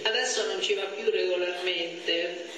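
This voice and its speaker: A man's voice: high-pitched, steady tone, a little slow, bright, forceful tone, soft background music. A man speaking as a judge in court, formal and procedural. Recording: noisy, echoing, distant courtroom recording.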